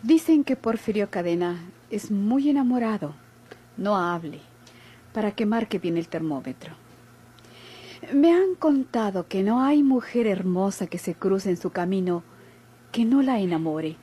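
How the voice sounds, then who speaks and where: A Mexican actress playing an inquisitive, gossipy hospital nurse in a classic radio soap, speaking formally and probingly. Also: female voice, medium pitch, expressive, measured pace, mellow, slightly rough. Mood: probing, professional. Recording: old noisy radio drama recording.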